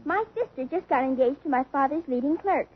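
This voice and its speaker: Woman doing a cheeky kid sister, super high little girl voice, muffled old radio. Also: fairly quick, a little hiss or hum, natural voice. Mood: cheeky, innocent. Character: cheeky little girl feigning sweet innocence. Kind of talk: 1950s radio comedy acting.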